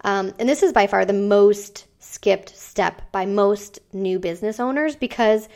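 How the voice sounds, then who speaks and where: A woman hosting a business podcast, talking casually with frequent ums and a voice made raspy by a cold. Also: mid-range voice, measured pace, a little mellow. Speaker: podcast host. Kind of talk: solo business podcast talk.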